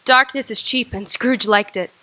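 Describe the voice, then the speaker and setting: A woman narrating a radio drama in a gloomy, deliberate storytelling way. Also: a little high, bright, forceful tone, a little hiss or hum.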